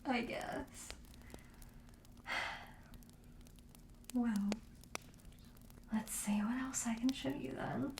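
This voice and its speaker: A friendly, slightly morbid witch shopkeeper played by a woman in an ASMR roleplay, with a high, mellow, breathy voice. She speaks slowly and softly, often slipping into a whisper as she gently coaxes a customer toward her curiosities. The sound is roomy and echoey. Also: noisy background. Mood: softly matter-of-fact. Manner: gentle, coaxing sales patter with casual hesitations.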